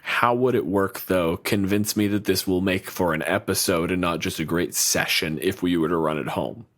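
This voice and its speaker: Low-voiced man, profane and rambling. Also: moderate pace, a bit bright. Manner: loose and profane, rambling with restarts. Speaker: podcast co-host. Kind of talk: casual chat.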